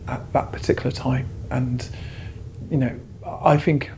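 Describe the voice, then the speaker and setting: A man's voice: medium pitch, fairly even intonation, unhurried, a little mellow, slight background noise, poor audio. A man speaking as a curator in an interview, giving long, discursive explanations. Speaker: exhibition curator, interview guest.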